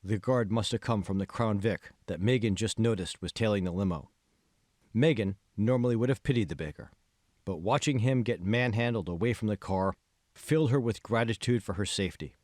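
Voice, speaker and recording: A man reading scripted fiction as an audiobook narrator, in a measured, phrase-by-phrase way. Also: fairly low pitch, darkish tone, dry recording, clean studio sound. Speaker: author reading his own audiobook.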